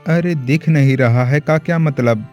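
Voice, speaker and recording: A man playing a poor, timid farmer and devoted family man in an animated story, speaking earnestly. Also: mid-range voice, measured pace, faint music behind, lightly acted. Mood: concerned. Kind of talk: Hindi animated moral story acting.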